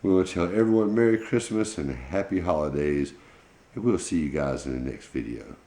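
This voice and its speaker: A man's voice: low-pitched, lively intonation, fairly quick, dark tone, reverberant. A man telling an informal children's bedtime story in a folksy, colloquial way. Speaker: pet channel host telling a bedtime story.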